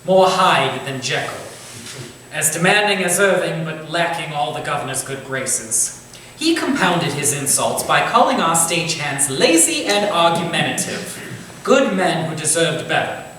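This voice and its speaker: A man's voice: fairly high pitch, very animated, a little slow, bright, forceful tone, heavily acted. A man playing a learned Victorian theatre manager, speaking formally with dry wit in a staged reading. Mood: confiding. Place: echoey room or small theatre, staged reading. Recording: roomy, distant stage recording, faint noise.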